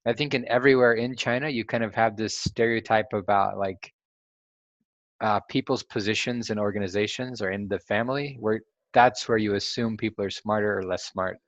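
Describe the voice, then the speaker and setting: Low-voiced curious male host. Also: steady tone, brisk, no echo, dull audio. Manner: curious, laughs easily. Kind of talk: casual chat.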